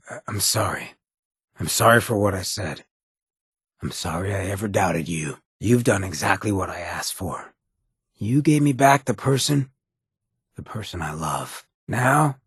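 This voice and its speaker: An actor playing a swaggering, sardonic ghoul gangster companion in a video game, with a gravelly rasp and a wry, streetwise drawl. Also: male voice, lowish voice, a bit bright, no echo, studio quality, exaggerated voice. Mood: apologetic, tender. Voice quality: gravelly, raspy.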